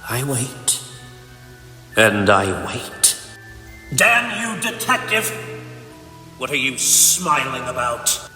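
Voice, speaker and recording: A man's voice: mid-range voice, big pitch swings, very slow, long pauses, bright, forceful tone, heavily acted. A man playing a bitter, grief-stricken, vengeful ice supervillain in a comic dub, with theatrical, brooding delivery. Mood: irritated. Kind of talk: dramatic comic dub acting. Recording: echoing reverb, music underneath. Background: music.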